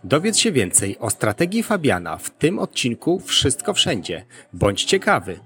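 A man's voice: medium pitch, wildly swooping pitch, normal speed. A man hosting an educational podcast, reading scripted narration.

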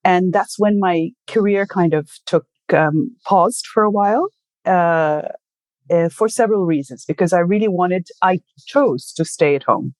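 A woman's voice: fairly low pitch, measured pace, darkish tone, no room sound. A woman in her sixties from Egypt, telling her life story in a conversational interview, thoughtful and with many fillers and self-corrections. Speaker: interview guest. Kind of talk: casual conversation.

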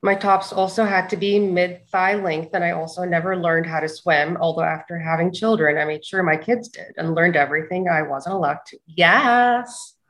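A woman from Saudi Arabia talking as a guest on a video call, hesitant and halting, also reading out viewer comments. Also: fairly low pitch, a bit bright.

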